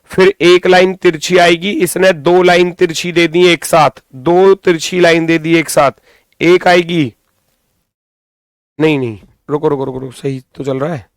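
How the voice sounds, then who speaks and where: A man's voice: a little high, fairly even intonation, a little slow, no room sound, clean studio sound. A man teaching a live exam coaching class informally, giving directives and repeating phrases for emphasis, his throat strained as he recovers from losing his voice. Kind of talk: live class lesson, thinking aloud.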